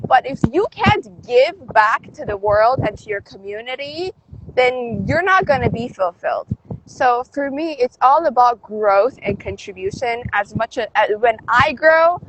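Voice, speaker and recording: A high-voiced woman who grew up in Canada speaks as a guest on a phone livestream. She is upbeat and motivational and swings into emphatic lifts. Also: highs cut off, a little hiss or hum. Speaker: guest, DJ and life coach. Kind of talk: livestream interview.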